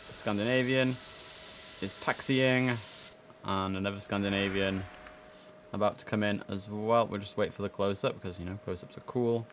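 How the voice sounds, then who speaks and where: Low-pitched man streaming over background noise. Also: steady tone, moderate pace. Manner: casual, muttering to himself between clipped instructions. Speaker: streamer playing an air traffic controller. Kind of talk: livestream game commentary, mixing casual chat with radio phraseology. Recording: clear background noise under the voice.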